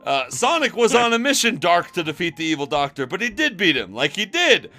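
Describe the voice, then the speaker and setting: A man's voice: high-pitched, sing-song, fairly quick, bright, forceful tone. A man reading fan fiction aloud on a stream in a theatrical, mocking way, given to giggles and asides. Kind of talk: dramatic, mocking story reading on a casual stream. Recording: music behind the voice. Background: music.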